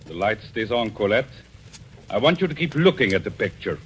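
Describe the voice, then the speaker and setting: Deep, menacing avenger in radio suspense. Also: male voice, big pitch swings, a little hiss or hum. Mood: accusing, deliberate. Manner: deliberate, theatrical, quietly menacing. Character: vengeful, coolly controlled man confronting a murderess. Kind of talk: 1940s radio suspense acting. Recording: old radio recording with music.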